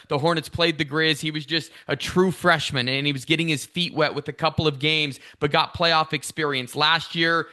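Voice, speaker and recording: Bright, forceful male sports announcer. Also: fairly high pitch. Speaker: radio play-by-play announcer, interview guest. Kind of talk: sports interview commentary.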